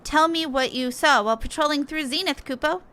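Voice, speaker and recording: Woman voicing a high, bright, plucky little moogle. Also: brisk. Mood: earnest, dutiful. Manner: plucky, exclaiming. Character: small earnest moogle guard creature, squeaky and dutiful. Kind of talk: expressive read-aloud of game dialogue.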